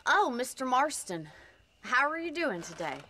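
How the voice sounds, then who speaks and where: A woman's voice: sits high, very animated, background noise. A teasing young ranch woman. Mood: playful. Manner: teasing, brisk, plainspoken. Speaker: ranch owner. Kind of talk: video game cutscene dialogue.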